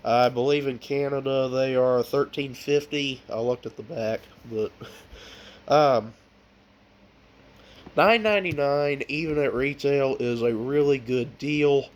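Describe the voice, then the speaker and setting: A man with a stuffy nose from a cold, chatting casually in a vlog, showing off his book collection with plenty of ums and uhs. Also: mid-range voice. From United States. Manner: slow and unhurried, with frequent ums and uhs.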